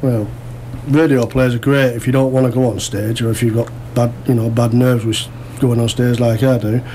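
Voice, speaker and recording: A man with a dark, mellow, mid-pitched voice. He chats casually and modestly as an interview guest, with faint noise in the background. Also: flat delivery, normal speed, low-quality recording. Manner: modest, self-deprecating. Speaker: amateur actor interview guest. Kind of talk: casual radio interview chat.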